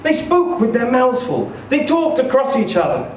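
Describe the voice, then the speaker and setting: A man reading a short story aloud in an expressive, theatrical, emphatic storytelling style. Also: very high voice, moderate pace. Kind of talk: expressive live literary reading. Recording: echoey room, distant mic, faint noise.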